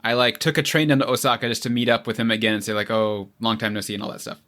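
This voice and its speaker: A man's voice: medium pitch, little pitch movement, rapid-fire, a bit bright. A man co-hosting a casual podcast, chatting and sharing anecdotes in a conversational way.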